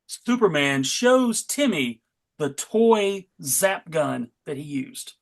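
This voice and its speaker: A man's voice: fairly high pitch, sing-song, slow, vocal fry. A man hosting and narrating a dramatic comic-book table read with wry, tongue-in-cheek asides. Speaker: host and narrator.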